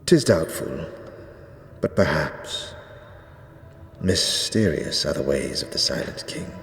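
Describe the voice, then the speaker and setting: An actor playing a solemn, stern undead priest, devout and archaic in speech, in a fantasy audio drama. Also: male voice, rumbling low, lively intonation, vocal fry, fairly bright tone, exaggerated voice. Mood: reverent. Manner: archaic, grave, measured pronouncements. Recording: echoey stone hall reverb, faint noise.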